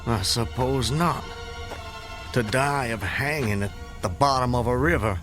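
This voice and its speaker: A man's voice: medium pitch, close to an everyday voice. Actor as haunted condemned man over heavy music. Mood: grave, wondering. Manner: formal, deliberate, vividly descriptive. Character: condemned man recounting his hanging and escape, solemn, intense. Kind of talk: radio drama acting, literary first-person storytelling. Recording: music under the voice. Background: background music.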